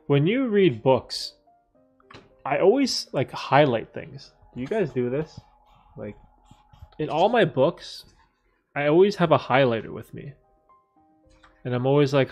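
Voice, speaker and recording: A man's voice: medium pitch, sing-song, unhurried, long pauses, darkish tone, soft background music. A man hosting a casual livestream Q&A from a room with a bookcase, rambling with many fillers and restarts. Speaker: livestream host answering viewer questions. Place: room at home with a bookcase behind him.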